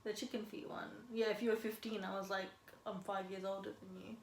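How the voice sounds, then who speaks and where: A woman's voice: medium pitch, level tone, a little fast, roomy sound, slight background noise. A woman, chatting and joking with her siblings in a vlog. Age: 20s. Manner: teasing, laughs at own jokes. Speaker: vlogger. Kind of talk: casual sibling chat.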